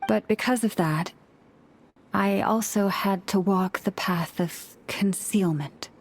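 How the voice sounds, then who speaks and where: A woman playing a gentle, solemn young antlered moon priestess in a video game, speaking in a formal, serene, measured way. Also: mid-range voice, rough recording. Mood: wistful, solemn. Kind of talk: scripted video game cutscene acting.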